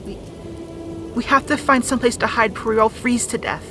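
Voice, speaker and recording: A woman's voice: a little high, a little fast, music in the background, lightly acted. A woman playing an earnest, wounded young princess in a fantasy audio drama, speaking with pleading emotion. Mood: anxious, confessing.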